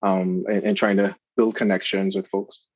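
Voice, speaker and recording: A man with a Trinidadian accent, a guest in an interview, speaking in an earnest, measured way with fillers. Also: low-pitched, level tone, no room sound, lo-fi sound. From Trinidad and Tobago. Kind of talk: casual interview chat.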